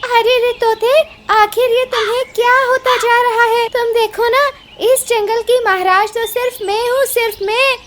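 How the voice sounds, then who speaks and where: A woman's voice: very high-pitched, steady tone, a little slow, hardly any pauses, a bit bright, a little hiss or hum. A woman voicing a small, bossy female bird in a children's cartoon, with an exclamatory, scolding manner. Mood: confused, imperious. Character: small female bird, bossy, insisting she rules the forest. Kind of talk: Hindi children's cartoon dubbing.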